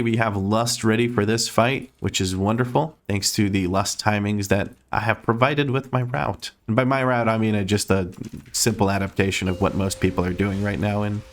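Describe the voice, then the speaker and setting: A man giving rambling, self-correcting play-by-play commentary on his gameplay, a little hoarse as he is losing his voice. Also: sits low. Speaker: game streamer.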